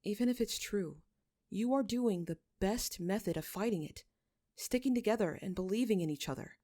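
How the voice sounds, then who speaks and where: Woman reading as a kind young holy guy, calm, reassuring, storybook dialogue. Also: mid-range voice, normal speed, voice pushed up, close to an everyday voice. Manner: soothing, reassuring. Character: gentle young male shepherd, calm holy leader.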